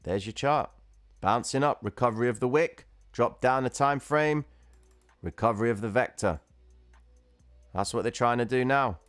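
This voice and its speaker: A man's voice: medium pitch, moderate pace, pauses often, fairly bright tone, no echo, soft background music. A man hosting a livestream, casually explaining chart trading and chatting with viewers who comment. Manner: chatty, reads viewer chat, calls viewers guys and bro.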